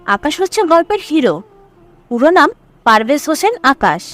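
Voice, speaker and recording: A woman's voice: sits high, sing-song, moderate pace, a bit bright, faint music behind. A woman narrating a scripted audio story as its storyteller.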